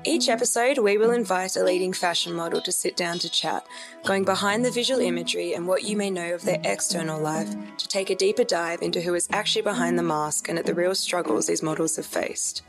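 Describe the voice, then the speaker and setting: Co-host: woman, lowish voice, upbeat casual interviewer, faint music in intro. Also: brisk. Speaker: podcast co-host, fashion model.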